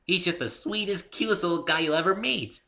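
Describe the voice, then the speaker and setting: A man voicing a bubbly, excitable young female cartoon pony with a perky, exclamatory delivery in a story reading. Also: high voice, fairly quick, creaky, pitched above the performer's own voice, heavily acted. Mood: playful.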